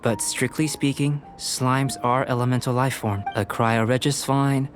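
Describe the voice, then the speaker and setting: A man playing a young, scholarly alchemist in a video game, speaking in a measured, polite, analytical and detached way. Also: mid-range voice, fairly even intonation, soft background music, lightly acted.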